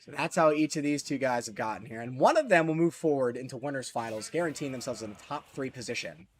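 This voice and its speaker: Excitable male esports commentator. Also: quick pace. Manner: excitable, voice jumps high at big moments. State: losing his voice. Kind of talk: solo live tournament commentary. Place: small closet.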